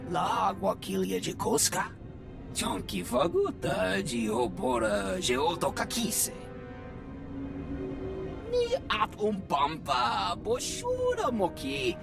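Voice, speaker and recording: Casting: a scheming alien underworld dealer for a game, invented tongue, fry-laden and animated, music underneath. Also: mid-range voice, exaggerated voice. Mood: insistent. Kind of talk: video game cutscene dialogue in an invented language. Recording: music behind the voice.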